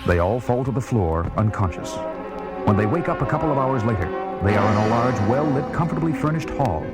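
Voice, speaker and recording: A man's voice: medium pitch, lively intonation, a little fast. A man reading scripted narration for an audio drama, telling the story as it happens. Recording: loud music behind narration.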